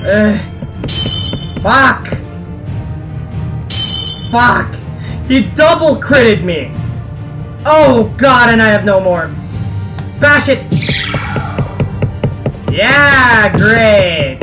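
A man's voice: extremely high pitch, animated, drawn-out words, pauses often. A young man giving casual, sweary, exasperated let's play commentary as a gaming video host. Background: game music.

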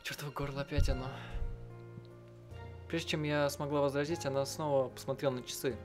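A man narrating and translating a visual novel aloud in a halting, improvised way, often clearing his throat. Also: medium pitch, steady tone. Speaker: let's player translating and voicing a game. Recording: loud game music behind. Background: game music.

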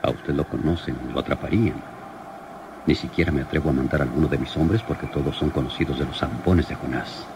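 An actor playing a shrewd police lieutenant in an old radio serial, deliberate, questioning and used to giving orders. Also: male voice, a bass voice. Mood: grave, pondering. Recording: noisy old radio drama recording.

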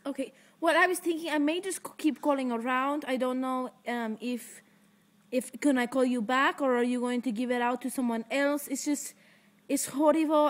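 A teenage girl making a prank phone call from a living room, rambling in fake distress, recorded on a close laptop webcam mic. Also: high voice. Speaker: prank caller.

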